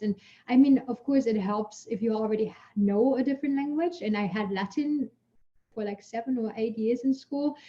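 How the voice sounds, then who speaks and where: Lady scientist, chatty, lots of fillers, warm low-key tone, muffled call sound. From Germany. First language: German. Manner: lively storyteller, chatty with many fillers, laughs while talking. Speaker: guest scientist. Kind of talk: casual Q&A answers.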